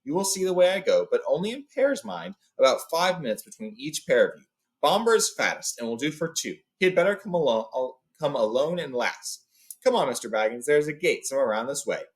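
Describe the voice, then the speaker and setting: A man's voice: a little high, big pitch swings, brisk, pitched above the performer's own voice. A man voicing a courteous, authoritative old wizard in a storybook, speaking formally and with emphasis. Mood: instructing. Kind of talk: storybook read-aloud character.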